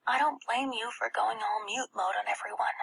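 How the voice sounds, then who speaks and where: A woman voicing an earnest, tender young schoolgirl who narrates her own story in an expressive audiobook reading, with a breathy, husky tone and hushed passages. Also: on the high side, normal speed, heavily acted. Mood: gentle, awkward. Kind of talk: first-person audiobook narration.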